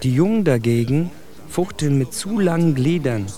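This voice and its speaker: A man's voice: on the high side, lively intonation, moderate pace, warm tone, lightly acted. A man playing a bitter, articulate immigrant in a literary radio drama monologue, with intense, rhetorical phrasing. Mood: bitter, insistent. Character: bitter, articulate immigrant man indicting his generation's plight. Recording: music bed under the voice.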